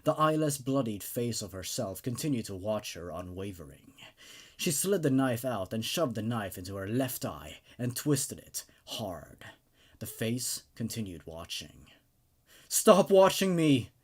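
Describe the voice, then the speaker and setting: A man who hosts horror story readings, narrating dramatically and commenting casually in an expressive, emphatic way. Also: fairly low pitch, unhurried, husky. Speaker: host reading and reviewing horror stories.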